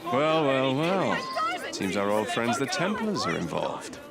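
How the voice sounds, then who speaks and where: A man's voice: mid-range voice, sing-song, a little slow, gravelly. An actor playing a cocky, wry young male assassin in a video game. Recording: noisy outdoor street and crowd bed.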